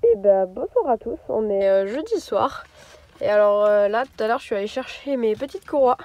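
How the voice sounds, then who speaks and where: A woman's voice: fairly high pitch, lively intonation, moderate pace, mellow, no room sound, lo-fi sound. A young woman vlogging casually into her phone from her camper van, chatty and hesitant, her nose stuffed up from a cold. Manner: chatty, hesitant with many fillers. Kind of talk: casual vlog chat to camera. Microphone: phone mic.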